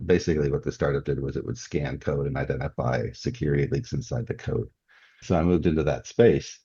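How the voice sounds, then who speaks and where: Older male interviewee, extremely low and rough-edged, conversational, lo-fi sound. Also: fairly quick, mellow. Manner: chuckles often as he talks. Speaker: interview guest, author. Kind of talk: casual podcast interview. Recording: dull, muffled lo-fi audio.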